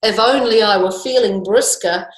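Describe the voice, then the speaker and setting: A woman's voice: normal speed, close to an everyday voice. A woman voicing boastful, cowardly little pet animals in a children's storybook, in a theatrical manner. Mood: feeble excuses. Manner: theatrical, high-pitched. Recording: echoey room.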